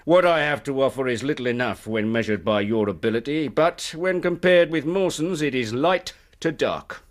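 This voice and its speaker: An actor in a radio drama playing a genial, persuasive company recruiter, effusive and patronising. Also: male voice, mid-range voice, normal speed, lightly acted. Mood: boastful.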